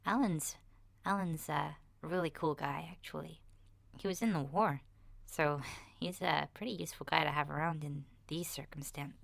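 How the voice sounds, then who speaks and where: A woman voicing an awkward ten-year-old boy in a hesitant, rambling ASMR roleplay diary, soft and breathy and often slipping toward a whisper. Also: deep voice, fairly even intonation, normal speed, no room sound. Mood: wry, rambling. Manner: hesitant, shy, rambling with sighs and self-corrections.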